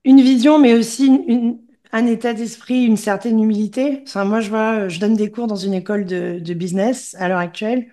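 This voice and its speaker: A woman's voice: on the high side, steady tone, fairly quick, mellow. A woman from Gabon, a podcast host who interviews in an engaged, encouraging, conversational way.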